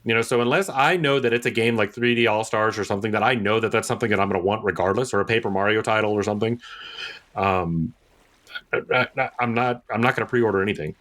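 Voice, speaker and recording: A man's voice: lowish voice, fast talker, a bit bright. A man co-hosting a podcast in casual chat, opinionated and emphatic, often repeating points for stress. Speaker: podcast co-host.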